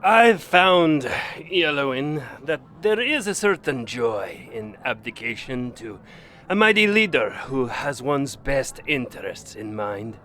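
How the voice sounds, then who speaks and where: An actor playing an older, deferential courtly retainer in a fantasy audio drama, formal and ceremonious. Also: male voice, mid-range voice, animated, slow, bright, forceful tone. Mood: earnest.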